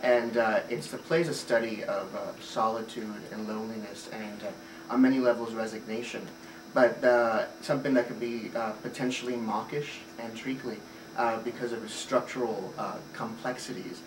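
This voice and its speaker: A man's voice: mid-range voice, steady tone, moderate pace. Thoughtful man heard from afar. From Puerto Rico. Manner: thoughtful, fluent, frequent "uh" fillers. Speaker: theater director. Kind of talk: reflective interview answers. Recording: roomy, distant mic, noisy ambience.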